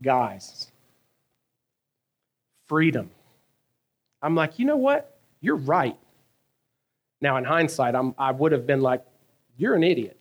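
A man, preaching a sermon in a conversational, animated way, asking his listeners questions and telling stories from his own life. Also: medium pitch, slow, pauses often, dull audio. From American South. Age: 30s. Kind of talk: sermon with personal storytelling.

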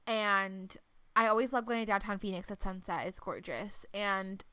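A young woman in her twenties chats casually on a podcast, leaning on fillers as she talks. Her voice is bright and crisp, a little congested, and recorded dry and close with no room sound. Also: medium pitch, moderate pace, dry recording. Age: 20s. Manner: chatty, lots of fillers. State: slightly congested. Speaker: podcast co-host. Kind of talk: casual chat.

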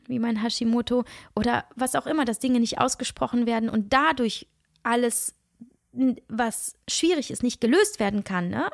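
A middle-aged woman with a cold-thickened, nasal voice talks earnestly through her own podcast, pausing and restarting as she searches for words. Her slightly high voice carries a gravelly fry, recorded close and dry. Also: no echo. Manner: earnest, searching, many restarts and soft asides. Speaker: podcast host and coach. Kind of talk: reflective solo podcast monologue.

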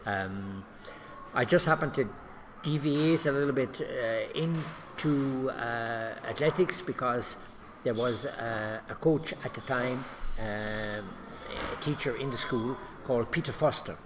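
An older man with an Irish Cork accent, an athletics coach recalling his start in an interview, measured and reflective. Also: medium pitch, dull audio, background noise. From Ireland. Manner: measured, reflective, with many fillers. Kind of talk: interview storytelling.

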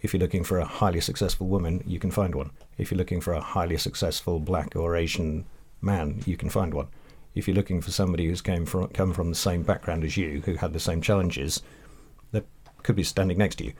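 A man speaking on a panel discussion, measured and reflective. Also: low voice, fairly even intonation, darkish tone, slightly rough.

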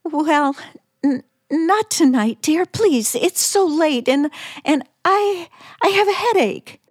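An actress playing a frightened, pleading wife in 1940s radio suspense style, hesitant, breathless and theatrical. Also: female voice, high-pitched, animated, slow pace, no room sound, clean studio sound. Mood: panicked refusal. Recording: old radio drama, faint music behind.

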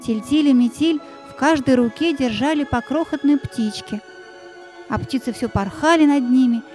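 A woman's voice: high-pitched, normal speed, darkish tone, faint music behind. A woman narrator telling a fairy tale expressively in an audio play.

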